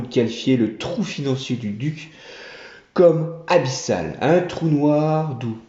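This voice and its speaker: Mellow, lively male storyteller. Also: mid-range voice. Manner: expressive, playful narration. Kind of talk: read-aloud tale narration. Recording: roomy, dull home recording.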